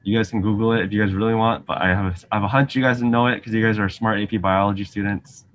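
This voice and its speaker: A man's voice: fairly low pitch, fairly even intonation, fast talker, dull audio. A young man from Singapore, a graduate student, gives a casual online science lesson full of ums and questions to his students. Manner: friendly, chatty, frequent ums, asks students questions. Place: lab office with shelves, indoors. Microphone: video call webcam.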